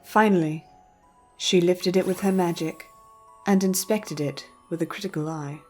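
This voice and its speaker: A woman's voice: fairly low pitch, normal speed, warm tone. A woman reading scripted storybook narration as the narrator. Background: music.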